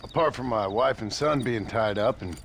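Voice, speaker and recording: An actor playing a dry, weary cowboy hero in a western video game, speaking in an understated way. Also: male voice, lowish voice, normal speed, gravelly, noisy background.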